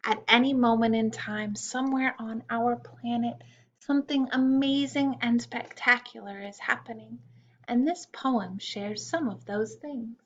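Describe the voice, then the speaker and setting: A woman reading a children's picture-book poem aloud in a slow, gentle storytime manner with soft hushed asides. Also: fairly high pitch, muffled recording, a little hiss or hum. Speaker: storytime reader.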